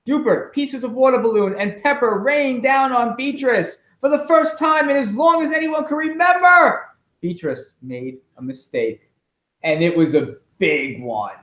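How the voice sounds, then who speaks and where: A middle-aged man, a school principal, reading a storybook aloud expressively and pausing with chatty asides and questions for children. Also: very high voice, a bit bright. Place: indoors at home. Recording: echoey room in a home.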